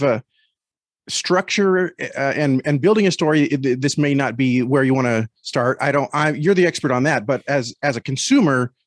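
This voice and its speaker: A man's voice: a little high, no room sound, clean studio sound. A man speaking conversationally as an interview guest and critic. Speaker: podcast guest, audio drama critic. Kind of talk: interview chat.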